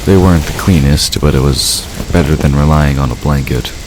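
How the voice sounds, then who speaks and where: Casting: man with an exceptionally deep voice as fiction narrator, scripted read, noisy ambient effects underneath. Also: fairly quick. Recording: steady noise behind the voice.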